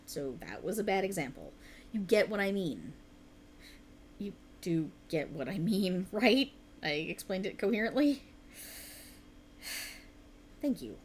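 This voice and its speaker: Woman acting animated, rambling writer girlfriend. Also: medium pitch, slight background noise, natural voice. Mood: sheepish, relieved. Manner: earnest, rambling, playfully defensive. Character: tired, rambling, passionate young woman writer, teasing girlfriend. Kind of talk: ASMR girlfriend roleplay, intimate conversational acting.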